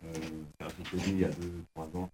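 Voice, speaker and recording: A man speaks as a guest, soft-spoken and halting. His voice is very low. Clear noise runs behind him on the mic. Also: level tone.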